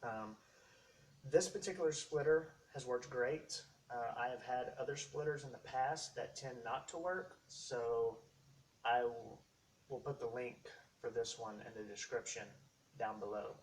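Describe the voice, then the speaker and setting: A man's voice: medium pitch, unhurried. Man explaining gear, recorded from a distance. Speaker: host of a how-to tech video series. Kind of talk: casual unscripted product walkthrough. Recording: distant mic, faint background noise.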